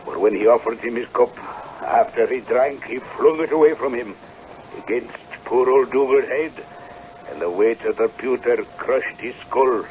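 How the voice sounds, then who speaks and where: A man's voice: mid-range voice, moderate pace, darkish tone, slight background noise, close to an everyday voice. Man playing a fearful old Scotsman, muffled recording. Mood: fearful, grim. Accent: Scottish. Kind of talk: old-time radio drama acting.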